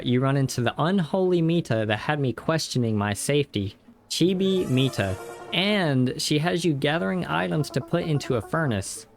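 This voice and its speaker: A man with a mid-pitched voice recaps a video game as a reviewer. His narration is scripted but casual. He leans on tongue-in-cheek exaggeration as he tells it. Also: creaky.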